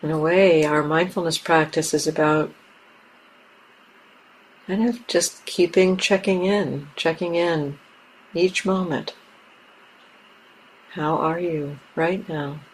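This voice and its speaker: A woman leading a guided meditation as a teacher, speaking gently and haltingly in short, softly inviting phrases. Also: sits low, fairly even intonation, slight background noise, rough recording. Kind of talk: guided meditation, unscripted and spoken in pauses. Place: meditation center talk.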